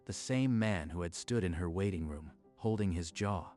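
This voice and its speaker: Very deep-voiced male narrator. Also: soft background music. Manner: measured, even storytelling. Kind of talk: scripted story narration.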